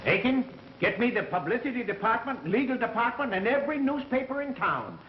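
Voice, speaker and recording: An actor with an American accent playing an excitable, bossy radio network chief in a 1930s film, blustering and imperious. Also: male voice, very high-pitched, brisk. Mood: commanding. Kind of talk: 1930s comic film acting. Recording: echoey, muffled, hissy 1930s film soundtrack.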